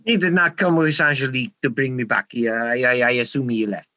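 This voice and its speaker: A man's voice: mid-range voice, fairly even intonation, fairly quick, sharp tone, no echo, highs cut off, lightly acted. A man playing a nervous, evasive French nightclub owner in an amateur radio mystery, stammering, repeating himself and getting defensive.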